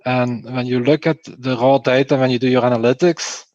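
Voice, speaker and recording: A man's voice: mid-range voice, fairly even intonation, moderate pace, a little mellow, dry recording, highs cut off. A man from Belgium with a Flemish accent, explaining things in an interview and repeating key phrases for emphasis. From Belgium, Flanders. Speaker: podcast guest, performance testing engineer.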